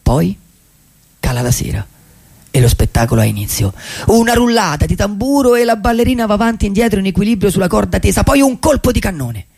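A gravelly, husky drifter recounts his wandering life as lyrical radio theatre. His voice sits a little high and breaks into rasp. He is melancholy and theatrical, pausing for effect. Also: expressive, darkish tone, heavily acted. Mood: wondrous, evocative. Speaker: actor. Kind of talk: poetic radio theatre monologue.

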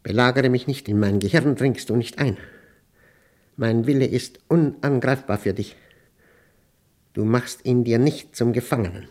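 An actor playing an obsessive, paranoid male professor in a German radio play, with a gravelly voice and emphatic, halting delivery. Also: mid-range voice, a little mellow, lightly acted. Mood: defiant, commanding. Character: obsessive, paranoid male professor battling his machine's will.

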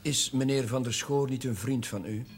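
A man's voice: mid-range voice, moderate pace, natural voice. Male actor playing young Dutch curate, earnest, hesitant, nervous, mid-range voice, old television drama with slight noise. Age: young adult. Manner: hesitant, stammering. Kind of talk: naturalistic television drama acting. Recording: noisy old television soundtrack.

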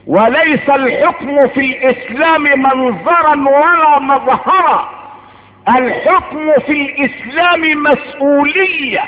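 A man with an Egyptian accent delivering an impassioned sermon, emphatic and declamatory, repeating phrases for effect. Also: extremely high pitch. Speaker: preacher. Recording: phone-like band, long hall reverb.

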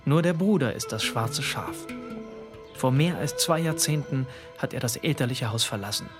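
An actor playing the observant, measured narrator of a literary radio drama. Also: male voice, mid-range voice, lively intonation, music in the background, close to an everyday voice.

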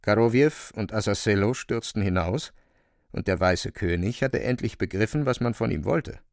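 A man narrating a literary radio play with a creaky voice. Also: lowish voice, normal speed, no echo, clean studio sound.

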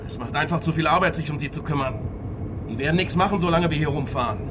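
A guarded criminal accomplice played by a male actor in a radio drama. The voice is fairly high for a man, clipped and matter-of-fact. Car noise is clearly heard around it. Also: fast, lightly acted. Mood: tense, practical. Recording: loud car interior noise.

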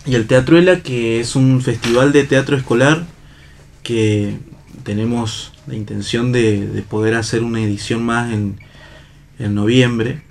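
A man with an Argentine accent talking casually as an interview guest, halting as he searches for words. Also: medium pitch, steady tone, vocal fry, a little hiss or hum. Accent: Argentine Spanish. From La Rioja, Argentina. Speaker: theatre actor and director, interview guest.

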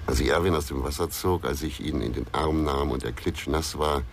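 Gruff investigator, extremely low gravelly voice, brooding and terse, radio crime drama with some background noise. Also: male voice, steady tone, a little fast. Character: gruff, brooding male investigator, haunted by a lonely childhood.

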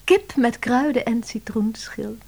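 A chatty woman office assistant in a radio play. Her voice is breathy and husky, with lively, swooping pitch and a dreamy, eager temper. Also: a little slow, full character voice. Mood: dreamy, gushing. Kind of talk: Dutch radio play acting.

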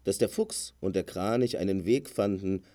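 A man's voice: deep voice, normal speed, mellow. A man narrating a scripted fable as a storyteller.

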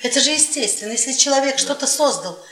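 A high-voiced, taunting drunken wife. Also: female voice, lively intonation, quick pace. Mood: boastful, wistful. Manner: theatrical, mocking, drawling key words. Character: bitter, taunting middle-aged professor's wife, drunk and domineering. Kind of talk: Soviet radio theatre acting. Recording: echoey 1980s stage-like radio play, distant.

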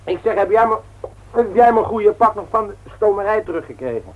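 Fussy rushed dutch husband, radio drama, narrow tinny recording. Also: male voice, sits high, animated. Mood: harried. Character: hurried husband fussing while dressing for work. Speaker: radio play actor. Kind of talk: domestic radio drama acting. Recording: thin phone-like old radio recording.

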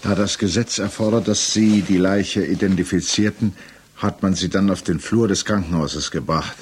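An actor in a 1960s radio crime drama playing a grave legal official who accuses formally and deliberately, with weighty pauses. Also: male voice, deep voice, a little hiss or hum, close to an everyday voice. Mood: grave, accusing.

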